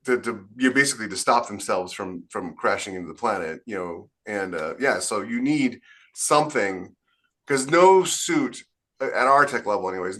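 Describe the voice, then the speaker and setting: A man's voice: on the low side, fairly bright tone. A man co-hosting a podcast as a science fiction author, chatting casually and enthusiastically in rambling explanations. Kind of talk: casual panel chat.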